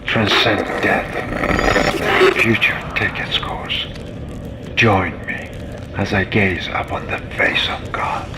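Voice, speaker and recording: An actor playing a calm, sinister religious zealot with a soothing, reverent menace in a horror game. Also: male voice, slow, pauses often. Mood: serene menace. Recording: heavy rumbling background noise.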